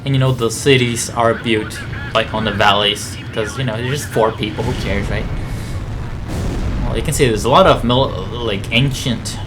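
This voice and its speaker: A man's voice: medium pitch, normal speed, low-quality recording. Man doing casual game commentary over music. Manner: rambling, conversational, thinking aloud. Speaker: video game playthrough commentator.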